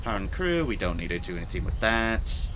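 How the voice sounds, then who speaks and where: A slightly hoarse man streaming a video game, chatting casually and playfully to himself as he plays. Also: lowish voice, very animated, normal speed, background noise. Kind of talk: casual live gameplay commentary.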